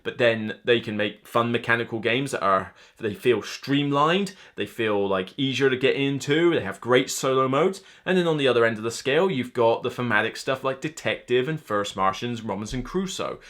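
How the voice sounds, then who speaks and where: Guy in his 30s chatting to camera about his top games, bright forceful voice, middle pitch, keen and conversational. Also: normal speed, hardly any pauses. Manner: conversational, enthusiastic. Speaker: board game reviewer and host. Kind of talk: casual top-list commentary to camera. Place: indoor room lined with game shelves.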